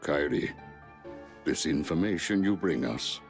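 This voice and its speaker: Old game sorcerer, extremely deep, slow, gravelly, stern, formal. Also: male voice, lively intonation, faint music behind, exaggerated voice. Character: stern, wise old male sorcerer master.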